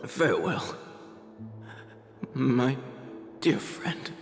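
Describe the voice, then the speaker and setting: A man's voice: mid-range voice, big pitch swings, extremely slow, full character voice. An actor playing a proud, loyal warrior on his deathbed in a video game, speaking with noble weight. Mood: resigned, tender. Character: proud, loyal dying warrior, devoted friend of king. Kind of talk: dramatic video game voice acting. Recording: echoey long reverb, music underneath. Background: music.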